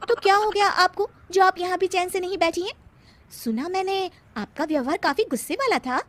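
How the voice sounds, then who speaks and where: A woman voicing a bold, cheeky young daughter-in-law with a pert, animated manner. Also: very high voice, normal speed, voice pushed up.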